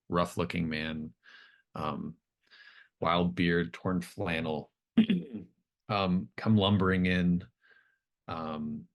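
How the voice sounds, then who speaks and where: A man improvising narration as game master of a tabletop horror game, phrasing things haltingly as he thinks aloud. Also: low-pitched, creaky, fairly bright tone.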